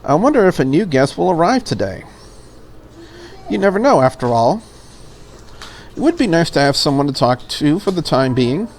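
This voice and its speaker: A prim, courteous young maid from a visual novel, read by a man in a somewhat high voice. She is formal, curious and a little lonely, with faint noise behind her. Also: very animated, moderate pace, long pauses. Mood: wistful.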